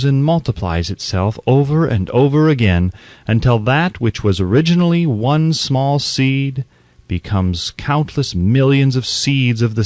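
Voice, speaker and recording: Male narrator for self-help audiobook, animated intonation, deliberate emphasis, dry studio-style sound. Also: mid-range voice, dry recording.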